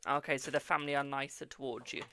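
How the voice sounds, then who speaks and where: A man's voice: medium pitch, flat delivery, quick pace, pushed and bright, clean tone. A man hosting a live-stream tarot reading, answering viewers' questions in a chatty, reassuring way and calling them "my darling".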